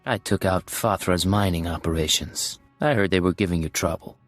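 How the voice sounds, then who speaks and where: An actor playing a cool, terse undercover agent in a video game. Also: male voice, low voice, moderate pace, dry recording, faint music behind. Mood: cool, probing.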